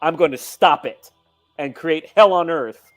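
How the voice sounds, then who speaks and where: Man, heated rant, casual live-show chat, slightly high voice, faint music behind. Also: a little slow. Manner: heated, ranting.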